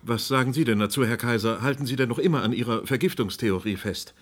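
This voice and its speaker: A man's voice: mid-range voice, quick pace, lightly acted. An actor playing an earnest, sceptical radio presenter who presses his guests in a radio drama discussion. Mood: concerned. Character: insistent, sceptical male radio presenter moderating crisis debate. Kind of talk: radio play studio discussion.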